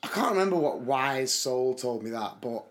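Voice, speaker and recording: A young man with a slightly hoarse voice, co-hosting a podcast and telling stories in casual chat, laughing often. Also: mid-range voice, animated, normal speed. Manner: chatty storyteller, laughs often. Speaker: podcast co-host.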